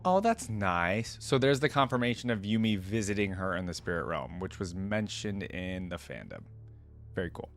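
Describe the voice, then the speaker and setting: A man's voice: low-pitched, big pitch swings, normal speed, over music. A man hosting a reaction video with casual, rambling commentary, thinking aloud in an informal way.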